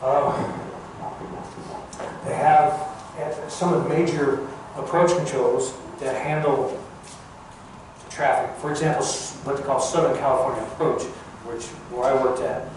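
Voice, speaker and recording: An older man, a former air traffic controller, gives an informal talk in a plain-spoken, halting, folksy way. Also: medium pitch, fairly even intonation. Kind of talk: informal public talk recounting career. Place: large echoey museum room. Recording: echoey hall, distant mic, clear background noise.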